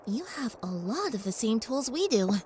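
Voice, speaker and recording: A woman's voice: fairly high pitch, sing-song, moderate pace, darkish tone. A woman playing a curious, polite young magical pony in an audio drama. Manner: inquisitive, courteous. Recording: ambient noise behind voice.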